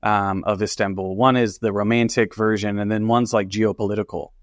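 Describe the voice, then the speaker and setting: A man's voice: lowish voice, fairly even intonation, a little fast, no echo, clean studio sound. A man hosting a podcast interview, chatting casually in an effusive, rambling, informal way.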